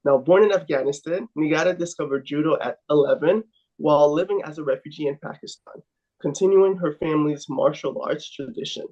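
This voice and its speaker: A man hosting a podcast reads his scripted welcome and the guest introduction in an upbeat way. His voice sits a bit higher than most men's. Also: brisk, a little mellow, dull audio. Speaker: podcast host.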